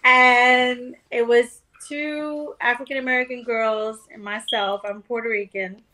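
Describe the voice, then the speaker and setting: Woman, middle-aged, podcast guest, candid storyteller, swears casually, fairly high voice. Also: fairly even intonation, a little slow, sharp tone. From New York. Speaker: guest author.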